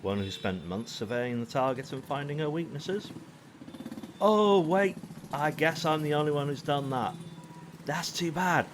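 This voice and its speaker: A man's voice: on the high side, animated, unhurried, noisy background. Cocky hitman, swooping sarcastic voice. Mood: mocking. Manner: sarcastic, taunting. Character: cocky, callous male hitman for hire. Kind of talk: audio drama acting.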